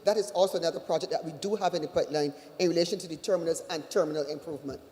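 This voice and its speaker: An official answering questions at a public consultation, brisk and matter-of-fact. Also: mid-range voice, darkish tone. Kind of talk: answering questions at a town hall. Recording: echoey hall.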